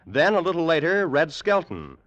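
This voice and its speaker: A man's voice: on the high side, very animated, moderate pace, dry recording, muffled recording. Polished old-time radio announcer, man. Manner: bright, polished, promotional. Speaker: network announcer.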